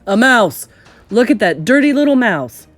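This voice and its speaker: Storybook kitchen hand acted by a woman: aggressive, urgent, loud high shouts. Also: very animated, normal speed, faint music behind. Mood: alarmed, aggressive. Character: angry shouting kitchen staff hunting a mouse.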